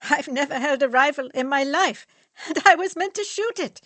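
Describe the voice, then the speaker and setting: Woman reading an excitable young joker, voice jumping high. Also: normal speed, no echo. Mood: excited. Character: cherub-faced young male student, cheeky joker.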